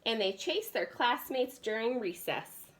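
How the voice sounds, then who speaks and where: A woman's voice: a little high, lively intonation, a little slow. A woman voicing a stern, stubborn male school principal in a children's storybook, emphatic and scolding. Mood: stern, dismissive. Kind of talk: children's storybook read-aloud.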